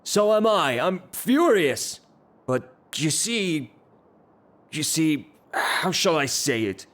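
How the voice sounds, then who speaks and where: An actor playing a blustering, lovestruck, hot-tempered gentleman landowner in an audio drama, with a halting, theatrical delivery. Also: fairly high pitch, very animated, full character voice. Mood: flustered, smitten. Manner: theatrical, halting, repeats himself.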